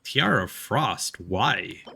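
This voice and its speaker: A man's voice: on the low side, expressive, drawn-out words, gravelly, sharp tone, husky. A man chatting casually as he streams a video game. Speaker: livestreamer playing a video game. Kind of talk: casual livestream chat over gameplay.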